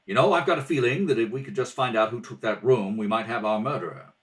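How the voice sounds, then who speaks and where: A man's voice: medium pitch, quick pace, a bit bright. A man doing a read-through of a radio mystery script, acting out several parts. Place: at home, at a kitchen table.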